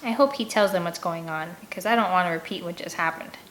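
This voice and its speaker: A woman's voice: on the low side, fast, a bit bright, a little hiss or hum. A woman reading a first-person children's novel aloud as an audiobook, telling the story expressively. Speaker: audiobook reader.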